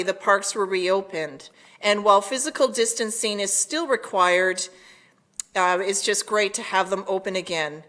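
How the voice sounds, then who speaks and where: A woman delivering a prepared public address as town mayor, measured and formal. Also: medium pitch, a bit bright.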